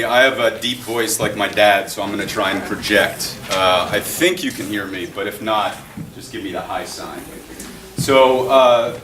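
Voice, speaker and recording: A man, a public defender, giving a relaxed, plain-spoken public lecture. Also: fairly low pitch, slight background noise. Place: school hall. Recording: echoey room, distant mic.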